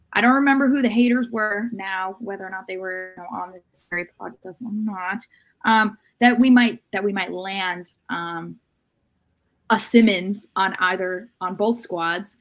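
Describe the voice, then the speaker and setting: A woman in her thirties co-hosting a sports podcast on a video call from home, with halting, hedged phrasing. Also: mid-range voice, fairly bright tone, poor audio. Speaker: podcast co-host. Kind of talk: casual sports discussion. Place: room at home, indoors.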